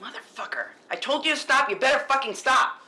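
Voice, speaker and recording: Woman acting a furious, forceful, combative young woman. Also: sits high, expressive, fairly quick. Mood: angry, warning. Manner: forceful, snapping, curt. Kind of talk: acted table read of a film script.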